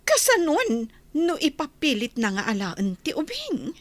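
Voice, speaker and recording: A woman's voice: high voice, wildly swooping pitch, a little slow, no echo, exaggerated voice. A woman playing an indignant, commanding mistress of a mansion in a melodramatic audio drama. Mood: shocked, indignant.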